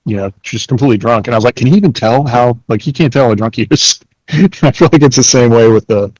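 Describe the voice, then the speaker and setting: A man's voice: mid-range voice, fast talker, a little mellow, no echo, low-quality recording. A man hosting a podcast in casual, slangy chat, with a laid-back and chuckly manner. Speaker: podcast host.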